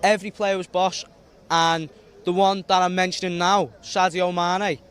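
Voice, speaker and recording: Emphatic, high-voiced man. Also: level tone, normal speed, fairly bright tone, clean tone. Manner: emphatic, boastful, certain.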